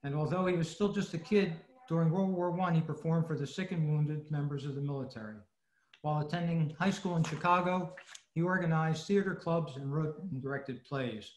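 A man's voice: fairly high pitch, a little fast, darkish tone, a little hiss or hum. A man reading a prepared talk as a presenter on a video call, in scripted narration. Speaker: old-time radio club presenter. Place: indoor room, video meeting. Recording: echoey room on video call.

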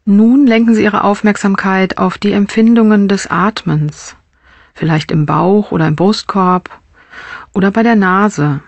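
A woman's voice: mid-range voice, steady tone, unhurried, dry recording. Woman guiding a meditation, dry close sound. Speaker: mindfulness meditation teacher. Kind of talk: guided body scan meditation narration.

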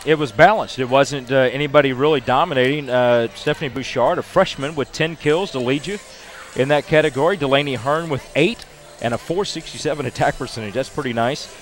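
A man's voice: medium pitch, fairly quick. An older man hosting a postgame interview on a headset mic, chatty and full of stats. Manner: chatty, stat-heavy, upbeat delivery. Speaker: sports broadcaster.

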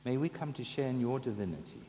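A man in his seventies, a priest saying Mass prayers in a measured, solemn way. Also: medium pitch, animated, dark tone. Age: 70s. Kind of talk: liturgical prayer. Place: large brick chapel, at altar and lectern. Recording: echoey chapel with long reverb, background noise.

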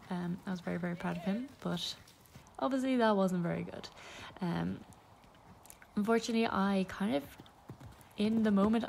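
A woman's voice: mid-range voice, vocal fry, a little mellow, slight background noise. A young woman talking casually through a ride as a voice-over, with lots of ums and small laughs. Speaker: equestrian vlogger.

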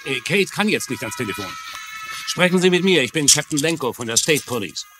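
An actor playing an unflappable state police captain in a dubbed TV mystery, formal and dry in manner. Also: male voice, a little high, animated, fairly quick, over music, lightly acted. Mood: official.

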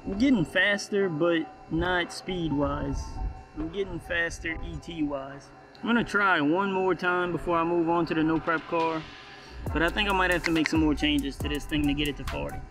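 A man's voice: a little high, normal speed, over music. A man from the United States, giving casual vlog commentary as a hobbyist. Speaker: hobby RC car vlogger.

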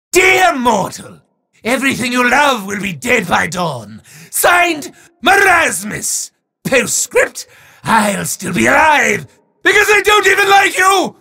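Evil game wizard, hammy, gravelly, piercing and forceful, slow drawn-out proclamations. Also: male voice, very high voice, heavily acted. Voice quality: raspy. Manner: theatrical, drawn-out proclamations breaking into shouts. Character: bombastic, hammy evil male wizard, boastful and petty. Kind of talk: video game villain voice acting.

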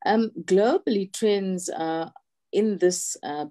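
A woman speaking on a video call with a husky voice because she is unwell, answering politely and thoughtfully with many fillers. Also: mid-range voice, takes it slowly, mellow. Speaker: HR contributor from the mining industry. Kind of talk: panel answer.

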